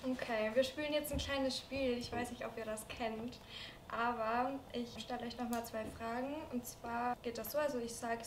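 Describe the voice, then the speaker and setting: A woman's voice: fairly high pitch, reverberant, far from the mic, noisy background. A woman in her twenties hosting a dating show in casual chat, easygoing and giggly. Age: 20s. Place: studio with curtains.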